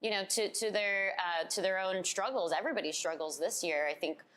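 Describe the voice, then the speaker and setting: A woman on a gaming panel, speaking in a thoughtful, measured way with some fillers. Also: mid-range voice, fairly bright tone. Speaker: games critic on a panel. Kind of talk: conversational panel discussion.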